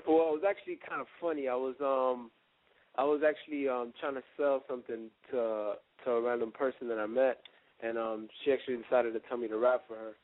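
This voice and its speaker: A man's voice: medium pitch, steady tone, a little fast, no echo. Young man on a tinny phone line. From Dominican Republic. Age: early twenties. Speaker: rapper, interview guest. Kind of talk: casual phone interview.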